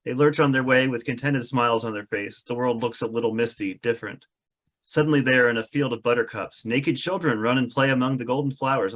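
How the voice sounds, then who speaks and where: A man with a mid-pitched voice narrates a screenplay's stage directions. He reads scripted scene descriptions as part of a table read. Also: a bit bright.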